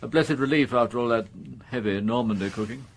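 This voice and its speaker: An actor playing a fussy upper-class English gentleman in a radio crime drama, languid, grumbling and well-mannered. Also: mid-range voice, a little fast, lightly acted.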